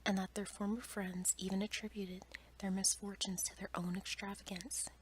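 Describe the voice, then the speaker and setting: A woman's voice: medium pitch, level tone, moderate pace. Whispering woman reading a bedtime story. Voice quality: soft, breathy whisper. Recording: clear background noise, ambient sound.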